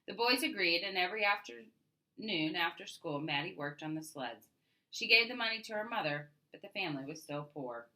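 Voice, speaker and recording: A woman narrates a children's storybook aloud in an expressive way. Her voice sits low for a woman but is bright and forceful. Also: moderate pace, rough recording. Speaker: storybook reader.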